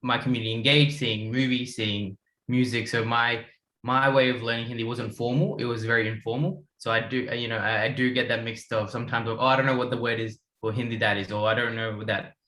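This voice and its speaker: A man's voice: mid-range voice, little pitch movement, brisk, sharp tone, roomy sound. A man in his twenties from India, telling his story in a rambling casual way with many restarts. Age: 20s. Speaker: guest. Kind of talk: casual panel chat.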